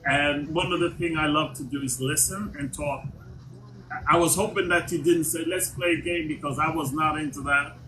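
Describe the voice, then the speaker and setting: A man from Jamaica hosting a casual live chat, talking haltingly with many repeats and restarts. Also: on the high side, steady tone. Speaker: livestream host. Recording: noisy stream audio.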